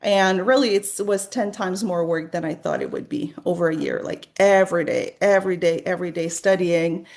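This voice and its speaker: A woman's voice: fairly low pitch, brisk, vocal fry, few pauses, darkish tone. Chatty woman giving study tips. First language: French. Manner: chatty, encouraging, many fillers and restarts. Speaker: real estate agent. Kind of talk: casual tutorial vlog.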